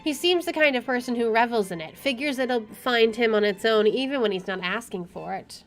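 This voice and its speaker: A woman with a fairly high voice is playing through a visual novel. She reads the narration aloud and comments casually, with game music behind her. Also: expressive, a little fast. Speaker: game streamer.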